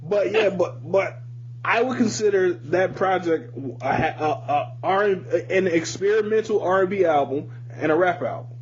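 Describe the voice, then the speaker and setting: A man co-hosting a casual music podcast, speaking in a halting, repetitive way with frequent swearing. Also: sits high. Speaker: podcast co-host. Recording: muffled lo-fi podcast audio with hum.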